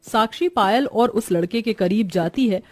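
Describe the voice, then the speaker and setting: A woman narrates a cartoon moral story from a script. Her voice is medium in pitch. The recording is dry, with music playing underneath. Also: normal speed, darkish tone, no echo.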